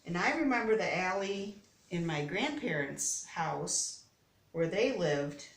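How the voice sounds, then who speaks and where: A woman's voice: fairly low pitch, lively intonation, takes it slowly. An older American woman reads a children's picture book aloud expressively, with chatty personal asides. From Detroit, United States. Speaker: storybook reader. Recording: roomy, echoey, distant microphone.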